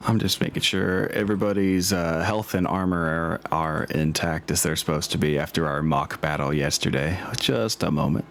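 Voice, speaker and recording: A man's voice: sits low, steady tone, normal speed, gravelly, few pauses. A man chatting casually as game master while setting up, with an informal, slangy and apologetic manner. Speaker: game master and host of a tabletop campaign. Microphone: online voice chat.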